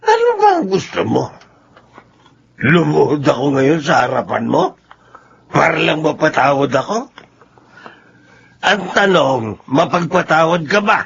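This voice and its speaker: A man's voice: fairly high pitch, sing-song, a little slow, gravelly, pauses often, fairly bright tone, breathy edge, full character voice. A man playing a drunken, embittered middle-aged man in a Filipino radio drama, emotional and self-pitying. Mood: challenging, bitter. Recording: dull lo-fi audio with background noise.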